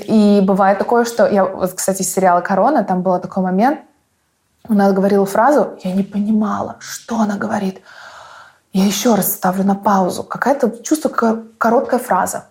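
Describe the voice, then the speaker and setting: An animated woman talks casually and laughs easily, acting out phrases as she goes. Her voice sits at a medium pitch. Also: brisk. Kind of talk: casual chat about learning English.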